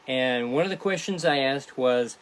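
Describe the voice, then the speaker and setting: A man hosting a casual behind-the-scenes stream as a podcast creator, chatting to viewers in a halting, rambling way. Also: medium pitch, clean tone. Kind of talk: casual livestream chat to viewers.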